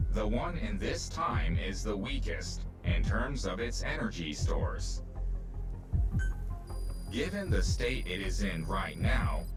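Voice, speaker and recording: A man playing a grave, analytical artificial intelligence in a video game cutscene, explaining things formally and deliberately. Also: low voice, exaggerated voice. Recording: distant voice over game music.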